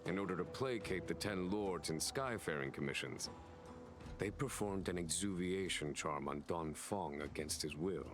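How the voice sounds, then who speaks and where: An actor playing a calm, burdened general of a fantasy realm in a video game, speaking in a measured, formal way. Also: male voice, low voice, music in the background, close to an everyday voice. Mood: wry, knowing.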